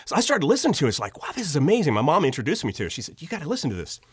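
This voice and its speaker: Middle aged american guy telling stories on a podcast, really animated up and down voice, acts out dialogue, dry sound. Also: fairly high pitch, rapid-fire, slightly rough, no echo. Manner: acts out remembered dialogue, conversational. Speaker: podcast guest, audio drama writer. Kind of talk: animated personal storytelling in an interview.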